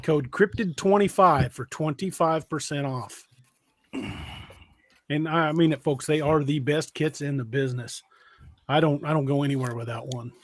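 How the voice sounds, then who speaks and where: A man's voice: fairly high pitch, normal speed, no room sound. A middle-aged man hosting a casual livestream, talking in a folksy, earnest way. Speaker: podcast host.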